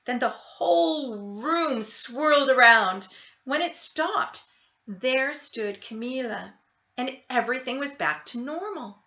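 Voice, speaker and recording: A woman reading a children's story aloud with expressive narration, in a slightly dull recording. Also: high voice, slow pace. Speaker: storybook reader.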